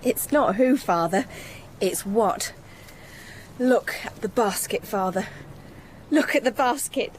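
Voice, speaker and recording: High-voiced, playful young daughter. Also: female voice, lively intonation, takes it slowly, long pauses, slight background noise. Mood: excited coaxing. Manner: teasing, coaxing. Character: loving, playful twenty-year-old working-class daughter. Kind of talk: radio drama acting.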